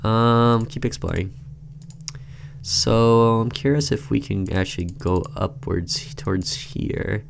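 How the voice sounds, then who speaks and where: A man's voice: on the low side, takes it slowly, vocal fry, a little hiss or hum. A man doing casual let's-play commentary, reading a game's narration aloud and reacting informally as he goes. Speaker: gaming video host reading a game's text aloud.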